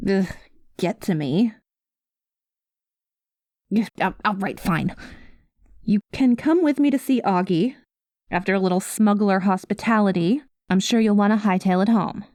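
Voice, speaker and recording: Casting: actress for cynical female space smuggler, ASMR roleplay. Medium pitch, wry conversational asides, guarded with a soft spot, very little room sound. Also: no room sound, lightly acted. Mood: exasperated, guarded, then grudgingly relenting. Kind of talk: ASMR roleplay addressed to the listener.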